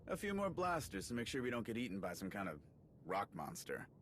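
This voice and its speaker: Casting: friendly sci-fi game slicer, chatty and wry, animated delivery on the lower side. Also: male voice, brisk, slight background noise. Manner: casual, wry, rambling with asides. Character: eager, chatty male soldier and tech slicer, friendly and quippy. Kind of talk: video game cutscene acting.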